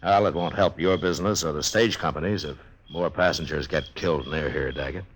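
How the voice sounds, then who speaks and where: A deep-voiced American lawman of the Old West, steady and probing. He speaks in a measured, understated way with thoughtful pauses, in a vintage 1950s radio western. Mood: probing, grave. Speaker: radio drama lead actor.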